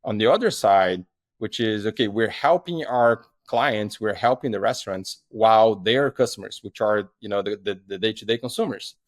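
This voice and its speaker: A man's voice: lowish voice, normal speed, no echo. A man with a Brazilian accent, a guest founder talking in a casual podcast interview, rambling with lots of fillers and restarts.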